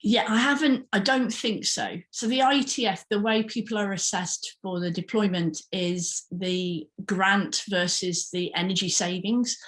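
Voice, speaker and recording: A woman's voice: medium pitch, unhurried, few pauses, fairly bright tone. A woman answering audience questions in an online workshop, careful, with many ums and rephrasings. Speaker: invited speaker.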